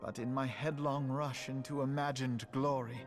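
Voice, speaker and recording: An actor playing a humbled, eloquent young nobleman who speaks slowly, formally and gravely. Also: mid-range voice, steady tone, lightly acted. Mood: bitter remorse. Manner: slow, formal, grave, deliberate. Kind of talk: video game dramatic acting with archaic diction. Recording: music behind the voice. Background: game music.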